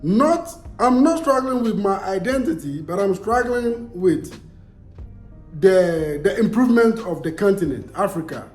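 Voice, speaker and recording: A man's voice: sits high, animated, unhurried, darkish tone, echoey room, faint music behind. A young Ghanaian man with a Ghanaian accent, vlogging casually and answering viewer comments in an emphatic way, repeating himself and asking rhetorical questions.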